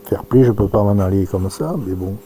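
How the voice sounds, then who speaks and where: Deep, mellow-voiced man thinking aloud. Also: brisk, a little hiss or hum. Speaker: presenter demonstrating amateur radio software. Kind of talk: informal live demonstration commentary.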